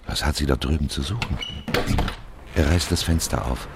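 A man acting as a grave storyteller in a surreal radio play, narrating slowly with a gravelly voice. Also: very noisy. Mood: solemn, suspenseful. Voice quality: very deep, gravelly. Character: grave male storyteller and questioner in a surreal myth retelling. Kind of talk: scripted narration, slow storytelling.

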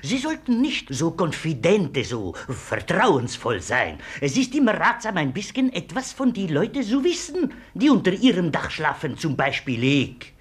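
Flamboyant, husky Italian schemer. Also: male voice, on the high side, wildly swooping pitch, unhurried, few pauses, heavily acted. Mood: sly, menacing. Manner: flamboyant, insinuating, mixes in Italian words. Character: theatrical, sly, teasing Italian hotel guest. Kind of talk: 1950s radio play acting.

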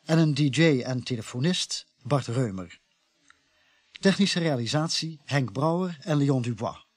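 A man's voice: medium pitch, animated, measured pace, dry recording. A Dutch man formally reading scripted credits as a radio announcer.

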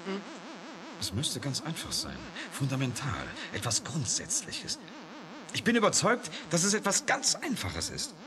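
Intense, high-set animated male scientist. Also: measured pace, crowd noise, exaggerated voice, low-quality recording. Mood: pondering, excited. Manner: insistent, emphatic. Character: intense, stubborn male scientist, absorbed and insistent. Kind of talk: German radio drama acting.

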